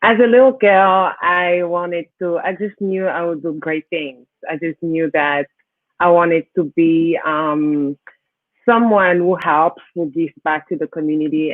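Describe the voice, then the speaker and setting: A woman from Cameroon speaks as an interview guest in a low voice. The sound is thin and narrow like a call, with very little room in it. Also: dry recording. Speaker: interview guest, financial services professional. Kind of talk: conversational interview answers.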